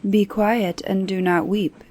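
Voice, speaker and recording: A woman's voice: medium pitch, level tone, a little slow, darkish tone, close to an everyday voice. A woman voicing an earnest, bargaining frog who speaks formally in a bedtime fairy tale. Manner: earnest, formal storybook speech.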